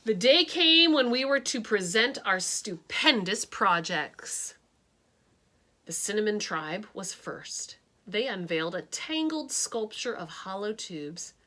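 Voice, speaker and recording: Slow, bright woman reading a story. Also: medium pitch. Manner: expressive, adds her own asides. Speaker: storybook reader. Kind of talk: reading a picture book aloud.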